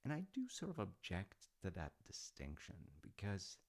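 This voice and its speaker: A man playing an easygoing dad in an audio roleplay, telling a story conversationally with wry, hesitant asides and a gravelly voice. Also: low-pitched, huge pitch swings, moderate pace, darkish tone, full character voice. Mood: reflective. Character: easygoing dad telling his grown child Norse myths.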